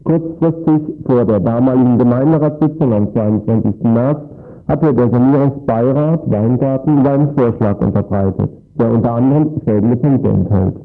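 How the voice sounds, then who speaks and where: A man's voice: medium pitch, moderate pace, gravelly. A man reading a scripted radio background report in a formal, factual manner. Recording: tinny phone-like radio recording with music. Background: music.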